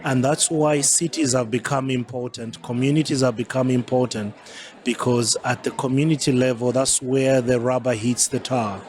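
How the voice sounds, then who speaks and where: A man in his forties from Zambia with a Southern African accent gives measured, formal answers as an interview guest. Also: medium pitch, fairly even intonation, a little mellow. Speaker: interview guest, climate change adaptation advisor. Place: busy festival venue. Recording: crowd chatter behind the voice.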